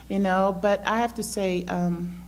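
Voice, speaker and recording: A middle-aged woman from South America, raised in the Netherlands, giving a hesitant personal testimony with many ums. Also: medium pitch. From born in South America, grew up in the Netherlands. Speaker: church member sharing testimony. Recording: faint background hum.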